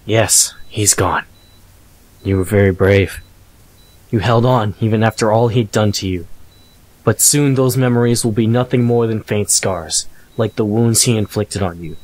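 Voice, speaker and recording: An actor playing a calm, consoling man in an audio story, speaking softly and in measured phrases. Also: on the low side, dry recording, poor audio. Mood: reassuring. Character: calm, gently reassuring adult man comforting an injured woman.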